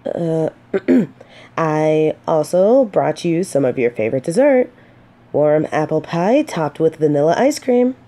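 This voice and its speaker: A woman acts out a gentle lady knight in a fantasy romance audio drama. She speaks very slowly in a soft, warm, slightly low voice. Her delivery is earnest and caring, with hesitant, dramatic pauses. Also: lively intonation. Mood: shy, fond. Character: gentle, earnest female knight consoling a despairing blind companion.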